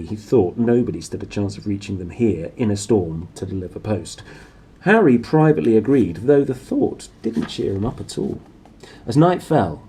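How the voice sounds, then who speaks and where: A man narrates a children's story with very lively, swooping intonation. His voice is mellow and somewhat husky, and he reads in an animated, dramatic storytelling style. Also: fairly low pitch, low-quality recording. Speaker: storybook reader.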